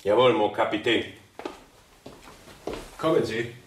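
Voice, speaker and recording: An actor playing a brisk, dutiful French military guard in a radio drama, speaking in clipped, formal announcements. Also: male voice, on the low side. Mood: curt, formal. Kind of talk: German radio drama acting. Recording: echoey room with faint noise.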